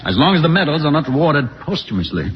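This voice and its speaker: An actor playing a sardonic, philosophical and uneasy expedition doctor in a vintage science fiction radio drama, speaking in a deliberate, musing way. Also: male voice, on the high side, sing-song. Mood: uneasy irony. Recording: muffled noisy old radio broadcast, long reverb.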